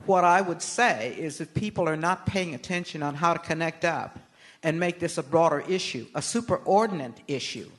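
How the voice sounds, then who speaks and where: An older American woman answering interview questions as a guest, speaking in a measured, emphatic, oratorical way. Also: deep voice, animated. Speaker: interview guest, political activist. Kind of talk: live on-stage interview answers.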